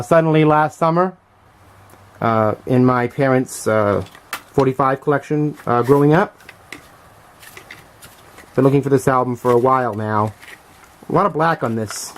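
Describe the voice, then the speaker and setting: A middle-aged man giving a casual, unscripted show-and-tell commentary as a collector, thinking aloud in a halting, hesitant way. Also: mid-range voice. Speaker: vlogger and music collector showing finds.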